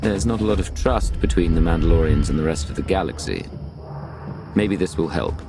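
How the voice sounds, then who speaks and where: A composed imperial agent from a video game. The voice sits extremely low, with gravel in it. He speaks in a measured, businesslike way over prominent music. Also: expressive. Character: composed male imperial agent, outsider field commander. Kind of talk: dramatic video game dialogue.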